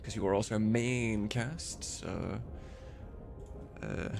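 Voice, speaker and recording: A man chats casually on a livestream while working. His voice is fairly low with a gravelly fry, and it moves around freely as he mutters to himself and to viewers. Music plays behind him. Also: takes it slowly. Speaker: streamer and game modder. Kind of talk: casual livestream work chat. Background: music, computer keyboard typing.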